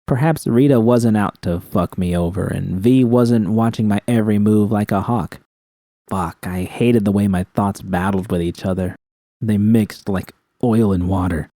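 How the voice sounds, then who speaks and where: A man's voice: fairly low pitch, moderate pace, warm tone, no room sound, studio quality. A man reading scripted first-person fiction as an audiobook narrator.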